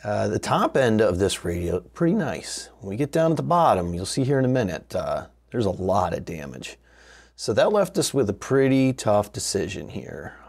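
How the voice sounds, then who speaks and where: Casual man with a lowish voice. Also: big pitch swings, moderate pace, creaky, darkish tone. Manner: informal, musing, asks rhetorical questions. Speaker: host of a radio restoration how-to video. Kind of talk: casual explanatory DIY narration.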